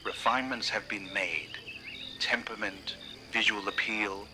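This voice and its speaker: A man playing a genteel, evasive elderly park owner in a horror drama, talking in a measured, reassuring way. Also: on the low side, fairly even intonation, natural voice. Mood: evasive, confiding. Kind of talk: horror drama dialogue over a night-time call. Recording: noisy night call on VHS-style tape.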